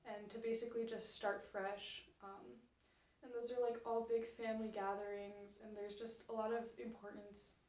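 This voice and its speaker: A woman's voice: mid-range voice, flat delivery, normal speed, darkish tone. A young woman, a college student, answering interview questions casually with many fillers. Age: young adult. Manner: casual, frequent "like" and "um". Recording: echoey room, voice from far off.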